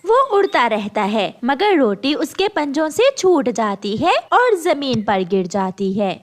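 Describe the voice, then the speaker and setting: High-pitched, lively woman storyteller. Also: a little slow. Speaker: narrator. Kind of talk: scripted children's cartoon narration.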